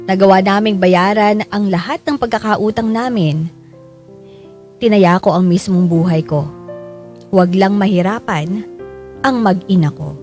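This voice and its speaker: A woman's voice: fairly low pitch, close to an everyday voice. A Filipino woman narrating as a desperate, devoted father, earnest and confessional, in a scripted drama. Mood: solemn. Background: soft music.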